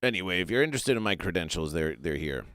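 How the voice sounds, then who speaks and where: A man improvising a comedy sketch as a self-assured male fish doctor, talking in halting runs full of fillers and restarts. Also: low-pitched, expressive, a bit bright, dry recording, clean studio sound. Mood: lecturing. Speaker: comedy performer.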